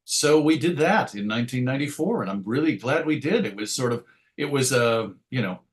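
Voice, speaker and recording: A middle-aged man with a medium-pitched voice reminisces as an interview guest. He talks casually and tells his stories in a chatty, wry way. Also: expressive, fairly quick. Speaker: interview guest, comics writer and editor.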